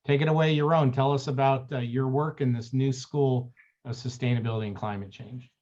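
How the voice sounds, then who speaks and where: A man hosting a webinar on a video call. He reads out a long guest introduction in a friendly, slightly halting way. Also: mid-range voice, fairly even intonation, darkish tone, highs cut off. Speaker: webinar moderator.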